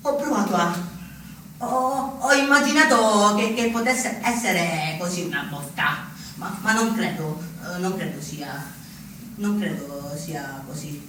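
An actor playing an agitated, furtive neo-fascist in a halting theatrical monologue, repeating himself insistently. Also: male voice, high voice, bright, forceful tone. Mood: excited, groping. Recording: echoey distant stage recording, faint noise.

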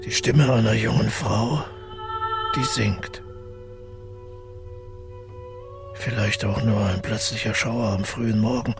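An actor playing a brooding, haunted man who tells his past in a halting, intimate audio drama monologue with a husky, gravelly voice. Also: lowish voice, steady tone, over loud music. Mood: tender, wistful. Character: brooding, haunted male first-person narrator recalling the past.

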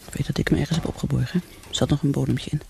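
Casting: troubled, secretly drinking woman lawyer, radio play, confiding and brooding, some fry, noisy clicky recording. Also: rumbling low, steady tone, racing. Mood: secretive, brooding. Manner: brooding, confiding inner monologue alternating with dialogue. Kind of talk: radio drama acting.

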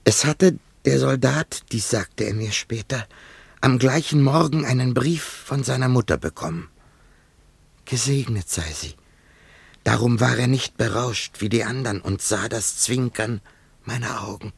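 Haunted old-world scissors grinder guy, radio drama, gravelly, close dry sound, poetic and halting. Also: mid-range voice, dry recording. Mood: grieving, reverent. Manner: slow, halting, incantatory delivery. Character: haunted scissors grinder, survivor of a massacre, solemn. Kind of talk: poetic radio play acting.

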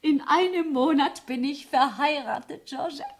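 An actress in a German radio play as an excitable, genteel bride-to-be, polite and somewhat condescending mistress of the house. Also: female voice, high-pitched, a little slow, a little hiss or hum. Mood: polite, regretful.

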